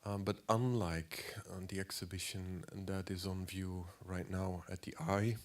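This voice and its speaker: A man in his forties with a cold, giving a halting lecture as an artist, clearing his throat often and filling pauses. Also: low-pitched, steady tone. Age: 40s. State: has a cold.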